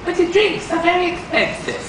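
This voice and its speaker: A man's voice: very high voice, big pitch swings, brisk, voice pushed up. An older man voicing a silly, naive, childlike man in a comic storybook reading, eager and chirpy. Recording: echoey theatre, distant camera mic, loud noise.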